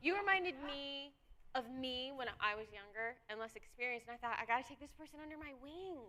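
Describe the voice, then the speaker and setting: A woman's voice: high-pitched, expressive, fairly quick, sharp tone, a little hiss or hum. A woman voicing a cocky, thrill-seeking woman astronaut in her thirties, breezy and boastful, performing live on a convention stage. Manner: breezy, boastful, laughs often.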